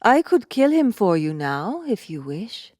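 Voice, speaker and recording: A woman's voice: mid-range voice, sing-song, darkish tone, no echo, clean studio sound. A woman playing a cool, deadly female warrior, speaking formally and matter-of-factly in a cinematic audiobook. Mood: coolly menacing. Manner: formal, measured, matter-of-fact.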